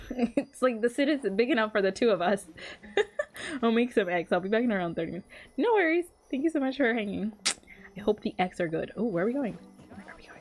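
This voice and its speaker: Game streamer, young woman, fairly high pitch, informal and playful reactions over faint game music. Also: animated, fairly quick. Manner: playful, giggly, slangy. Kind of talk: casual live reaction commentary.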